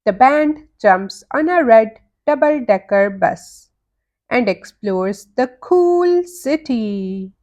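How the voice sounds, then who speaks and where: A woman narrating a children's storybook in an expressive, deliberate way for young listeners. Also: a little high. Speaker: children's read-aloud narrator.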